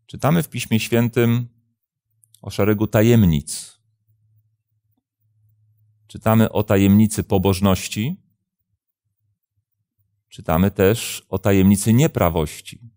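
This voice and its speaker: A man in his fifties, a pastor hosting a programme, answering religious questions in a measured, deliberate way with hesitant pauses. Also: lowish voice, warm tone, no room sound, studio quality. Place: indoor studio, seated at a desk.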